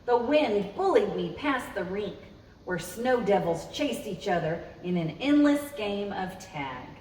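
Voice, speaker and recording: Lady doing kids storytime, slow and super expressive, echoey room, mic kinda far away. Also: medium pitch. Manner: expressive, addresses young listeners directly. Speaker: children's librarian, storytime host. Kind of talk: children's read-aloud storytelling. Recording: roomy, echoey, distant mic with noise.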